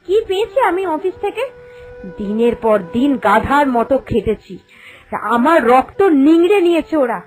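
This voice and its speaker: Very high-pitched, dramatic young cartoon wife, played by a woman. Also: big pitch swings, normal speed, low-quality recording. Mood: indignant. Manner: theatrical, complaining, emphatic. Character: greedy, headstrong young wife, lazy and dramatic. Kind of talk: Bengali cartoon fairy tale voice acting.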